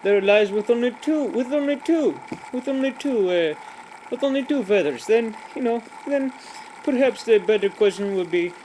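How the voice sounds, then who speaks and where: A cheerful, rambling joke-teller played by a man, stumbling through fillers and restarts in broken grammar. The voice sits high. Loud traffic noise runs behind the recording. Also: lively intonation, moderate pace, rough recording. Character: rambling, cheerful adult man telling pointless jokes. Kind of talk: comic character monologue.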